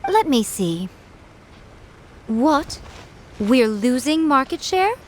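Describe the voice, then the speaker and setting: A woman's voice: high-pitched, sing-song, slow, a little mellow, a little hiss or hum, heavily acted. A woman voicing a sly, elegant fox-spirit shrine priestess in a video game, poised, haughty and playfully sarcastic. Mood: indignant.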